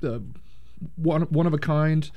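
A man's voice: a little high, fairly even intonation, unhurried, highs cut off. A man who runs a radio drama troupe, talking about it with enthusiasm and some fillers. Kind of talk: casual interview chat.

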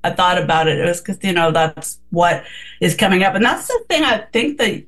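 Earnest woman, low-pitched reflective talk. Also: sing-song, brisk, a bit bright. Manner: earnest, reflective, many restarts. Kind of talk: casual radio talk.

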